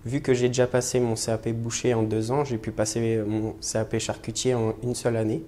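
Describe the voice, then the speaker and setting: A man's voice: mid-range voice, level tone, a little fast, warm tone, a little hiss or hum. A young man in his twenties from French Guiana, an apprentice butcher, talking about his training with frequent hesitations. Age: 20s. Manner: hesitant, many fillers. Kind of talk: interview portrait.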